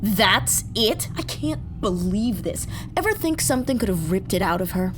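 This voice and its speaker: A woman acting an angry, distrustful space soldier in an audio drama. She speaks bluntly and combatively at a middling pitch, with faint noise behind her. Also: big pitch swings, normal speed, natural voice.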